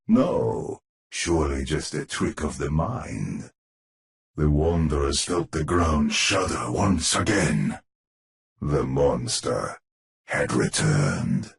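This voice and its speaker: An actor playing a grave, ominous storyteller narrating a gothic horror tale for a video game, with solemn theatrical delivery. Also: male voice, sits low, creaky, heavily acted. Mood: brooding, suspenseful.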